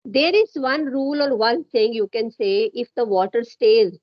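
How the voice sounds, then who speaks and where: Encouraging Indian woman, higher-pitched, dull call audio. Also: no echo. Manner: encouraging, unhurried, explanatory. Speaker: English-speaking guest, educator. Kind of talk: casual conversational English practice chat. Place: at home indoors, on a remote call.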